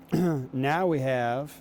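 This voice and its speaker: A man reading aloud and talking to children as a guest reader, with an unhurried, storytelling manner. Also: mid-range voice, a little mellow, slight background noise. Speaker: guest reader, basketball coach.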